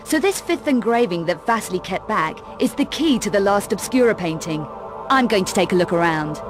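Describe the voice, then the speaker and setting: A woman's voice: medium pitch, animated, a little fast, lightly acted. An actress playing a tough, cool, sardonic young female adventurer in a video game, speaking in a curt, dry, probing manner. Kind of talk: dramatic video game cut-scene acting. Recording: loud music under the voice.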